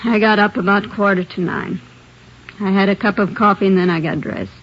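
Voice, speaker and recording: A woman's voice: mid-range voice, fairly even intonation, fairly quick, lightly acted. An American actress playing a brash, defensive married woman accused of murder in a 1950s-style radio crime drama, slangy and defiant. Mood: sullen, weary. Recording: dull lo-fi old-time radio recording.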